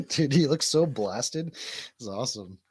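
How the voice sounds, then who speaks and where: A man's voice: mid-range voice, expressive, takes it slowly, darkish tone, breathy edge, no echo, clean studio sound. A man hosting a casual stream, asking questions and teasing in informal bro slang. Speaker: stream host interviewing a friend.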